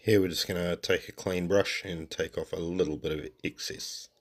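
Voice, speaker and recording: New zealand guy with a cold, deep flat voice, laid-back painting tutorial voice-over, lots of "sort of". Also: moderate pace. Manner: relaxed, trailing off with "sort of" and "um". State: has a cold.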